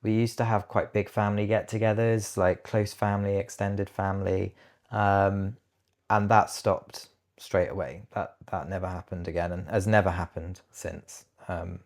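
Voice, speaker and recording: A British man with a low-pitched voice talks as a guest in an interview. He sounds reflective, feeling his way through personal memories with some hesitation. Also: level tone, moderate pace, a little mellow. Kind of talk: personal interview, recounting family loss.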